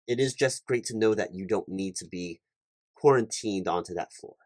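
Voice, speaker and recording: A man's voice: deep voice, normal speed, a little mellow, no echo, studio quality, natural voice. A man playing an officious, polite recruiting manager in a one-sided ASMR roleplay, talking in a wordy, hesitant way and repeating himself. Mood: awkward, polite. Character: officious, rambling male corporate recruiting manager, polite and awkward.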